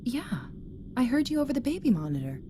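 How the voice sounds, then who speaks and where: An actress playing a high-strung young mother in an audio drama, pointed and questioning with her husband. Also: sing-song, a little fast, noisy background. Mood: accusing, tense. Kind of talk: naturalistic audio drama acting.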